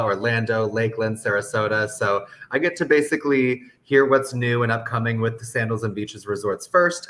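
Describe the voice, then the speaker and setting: Male guest, somewhat low voice, informal and explanatory, conversational sales delivery. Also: pushed and bright. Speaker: interview guest, resort business development manager.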